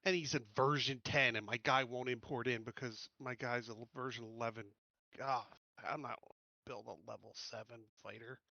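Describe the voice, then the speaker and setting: A man's voice: medium pitch, fairly even intonation, normal speed, gravelly, sharp tone, dry recording. A man chatting casually as a player in an online tabletop game. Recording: slightly dull, lo-fi voice-chat audio.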